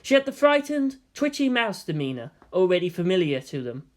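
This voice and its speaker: A man's voice: sits high, sing-song, fairly quick. A man reading scripted fiction aloud as the narrator.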